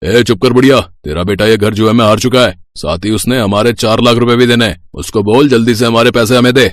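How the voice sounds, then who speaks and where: Man playing a loud, bullying goon. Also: medium pitch, fairly even intonation, brisk, dry recording, clean studio sound, lightly acted. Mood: threatening. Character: rude, menacing loan-collecting goon.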